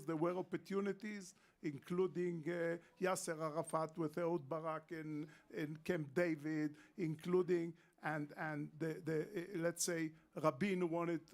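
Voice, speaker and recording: A man's voice: fairly high pitch, a little mellow. Insistent older man. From Israel. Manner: emphatic, insistent, repeats points. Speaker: panel guest. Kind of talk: panel discussion.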